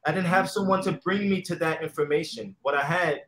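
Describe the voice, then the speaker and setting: A man's voice: a little high, a little fast, a bit bright. A man talking in an earnest, reflective way in a conversational interview, often restarting his sentences. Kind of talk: casual conversation. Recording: echoey room.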